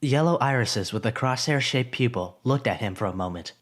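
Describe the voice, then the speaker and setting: A man narrates a story, letting his pitch rise and fall freely. His delivery is expressive and clearly scripted, with a storyteller's lift on the key phrases. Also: a little fast.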